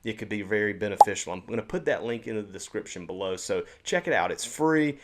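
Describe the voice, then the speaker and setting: Chatty low-voiced man talking to camera. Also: a little fast, very noisy. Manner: chatty and upbeat, with frequent restarts and repeated words. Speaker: real estate investor and educator. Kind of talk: casual talk to camera.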